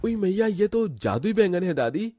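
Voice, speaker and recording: A man voicing an eager, innocent young grandchild in a cartoon story, pleading and excitable. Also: high voice, moderate pace, no room sound, voice pushed up. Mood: amazed.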